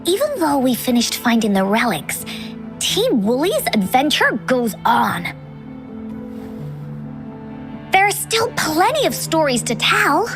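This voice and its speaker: A woman voicing a chirpy, imaginative little girl storyteller in a video game, with a childlike, expressive delivery. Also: sits high, a little slow, music in the background, exaggerated voice. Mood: chirpy, inviting. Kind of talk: scripted video game dialogue.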